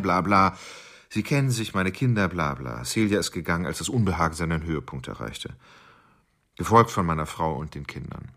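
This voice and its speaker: Murderous husband: low voice, very animated delivery, cold, sardonic first-person radio drama narration. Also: male voice, gravelly, heavily acted. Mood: mocking. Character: cold, irritable married man with murderous urges.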